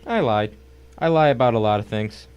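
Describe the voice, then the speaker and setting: A man's voice: mid-range voice, lively intonation, normal speed, a little mellow, smooth, natural voice. A man playing a suave villain in an audio drama, mocking his victim with formal politeness. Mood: taunting, sly. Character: suave, taunting male villain in a suspense story.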